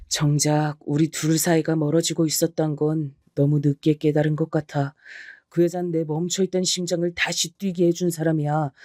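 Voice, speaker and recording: Middle-aged cheating husband, blunt and defiant, slightly higher male voice, dry close sound, voiced by a man. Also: darkish tone, no echo, natural voice. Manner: blunt, defiant, matter-of-fact.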